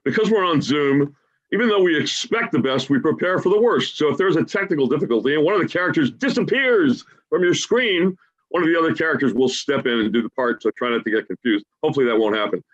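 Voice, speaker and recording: A man's voice: fairly high pitch, animated, fast. A man giving an informal, lightly joking welcome as host of a play reading over a lo-fi video call. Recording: dull, lo-fi Zoom call audio.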